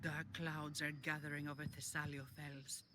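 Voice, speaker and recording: An actor voicing a steady fighter ally in a game, plain-spoken and matter-of-fact. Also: medium pitch, moderate pace, noisy background, natural voice. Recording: game audio with music behind.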